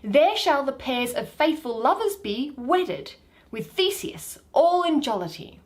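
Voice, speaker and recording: Woman reading as a measured, high-pitched commanding fairy king. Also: big pitch swings. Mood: solemn. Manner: measured, declamatory. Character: commanding fairy king, tender, magical, male. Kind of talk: Shakespearean verse reading.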